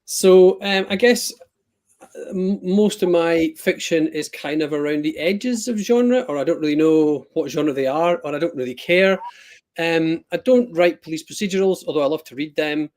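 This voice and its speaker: A man's voice: a little high, moderate pace, a little mellow. A man with a Scottish accent, a guest author chatting casually on a video call, rambling and self-deprecating with lots of ums and restarts. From Scotland. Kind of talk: casual live-stream chat.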